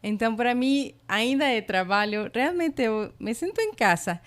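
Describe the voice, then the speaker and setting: A middle-aged woman with a Spanish accent speaks in a smooth, clear voice. She is a native Spanish speaker and chats in an interview with enthusiasm, often filling pauses with little tags and hesitations. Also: fairly high pitch, expressive. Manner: warm, enthusiastic, many fillers like "sabe" and "eh". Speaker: college international representative. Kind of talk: casual interview.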